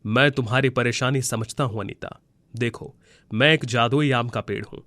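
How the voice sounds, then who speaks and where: Man voicing a bright-toned, kindly magic tree. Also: mid-range voice, fairly even intonation, normal speed, dry recording, clean studio sound, close to an everyday voice. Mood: consoling. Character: kindly, consoling magical talking mango tree. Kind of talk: Hindi moral storybook voice acting.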